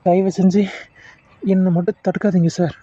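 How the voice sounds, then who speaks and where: A man's voice: high voice, level tone, moderate pace, mellow, dry recording, dull audio, voice pushed down. A man voicing an honest junior doctor who pleads earnestly and deferentially. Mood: pleading. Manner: earnest, pleading, keeps saying sir.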